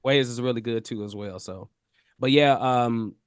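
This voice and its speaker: A man with a nasal voice tutoring a student one-on-one on a video call, relaxed and encouraging, often repeating his words. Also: mid-range voice, moderate pace, clear, resonant tone, dry recording, clean studio sound. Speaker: audio mixing tutor.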